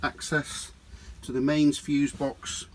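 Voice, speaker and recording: A man's voice: a little high, flat delivery, takes it slowly, darkish tone, slight background noise, low-quality recording. A man giving a chatty walkaround tour of a motorhome, filmed on a handheld camera, talking to viewers as folks. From United Kingdom. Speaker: motorhome dealer and reviewer. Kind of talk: walkaround video review. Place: inside a motorhome, rain outside. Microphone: handheld camera mic.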